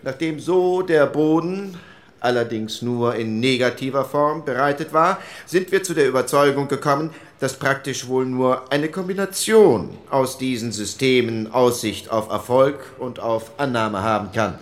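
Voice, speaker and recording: A man's voice: medium pitch, measured pace, close to an everyday voice. Sober 1940s politician guy, done by a male actor, medium voice, methodical committee report, radio drama. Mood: methodical, matter-of-fact. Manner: methodical, formal. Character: sober male post-war German parliamentarian reporting to committee. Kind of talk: dramatized reading of parliamentary minutes.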